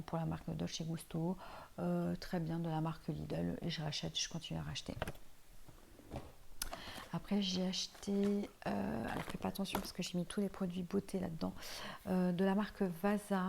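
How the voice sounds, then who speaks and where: Woman, low and gravelly, informal chatty review vlog, frequent fillers, speaking flatly. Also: normal speed, noisy background. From Portuguese heritage, lives in France. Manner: chatty, many fillers, addresses viewer informally. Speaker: vlogger reviewing used-up products. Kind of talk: casual product review chat. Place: home, tiled room.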